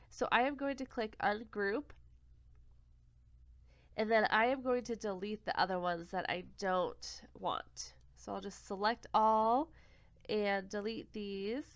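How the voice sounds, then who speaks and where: A young woman with a cold-congested voice talks through a craft software tutorial step by step, sometimes catching and correcting her own slips. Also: mid-range voice, slow pace, clean tone, dry recording. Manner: step-by-step, self-correcting, plain and friendly. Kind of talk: screen-recorded software tutorial. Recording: dull, lo-fi home recording.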